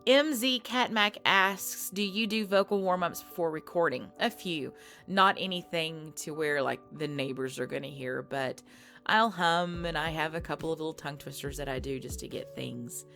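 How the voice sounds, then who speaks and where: Low-voiced woman, soft casual Q&A. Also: gravelly. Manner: soft, thoughtful, with fillers and restarts. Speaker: ASMR creator answering viewer questions. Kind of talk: casual Q&A talk. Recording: faint background music.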